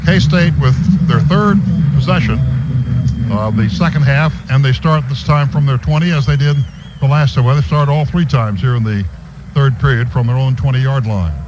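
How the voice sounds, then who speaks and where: A man's voice: medium pitch, normal speed. An American man doing live play-by-play commentary of a football game for radio. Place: stadium radio booth. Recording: noisy stadium radio broadcast recording. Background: clear background noise.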